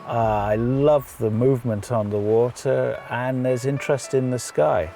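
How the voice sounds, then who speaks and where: A man narrating an instructional film in the first person, explaining his choices in a measured way. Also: mid-range voice, fairly even intonation, vocal fry, warm tone. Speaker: photographer narrator. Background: music.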